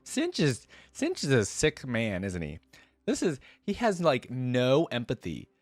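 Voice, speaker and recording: A man's voice: mid-range voice, huge pitch swings, unhurried, dry recording. A man hosting a reaction video, talking casually and directly to viewers in a conversational way. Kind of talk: casual reaction commentary with read-aloud story passages.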